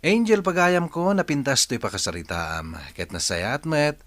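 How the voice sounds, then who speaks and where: A man playing a radio drama's letter reader, addressing the writer in an expressive, friendly way. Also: fairly high pitch, moderate pace, no room sound.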